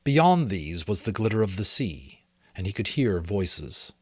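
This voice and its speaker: Middle-aged male narrator, low voice, very varied intonation, theatrical novel reading, close dry sound. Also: unhurried, breathy edge, no room sound. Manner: expressive, theatrical storytelling. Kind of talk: dramatic audiobook-style narration.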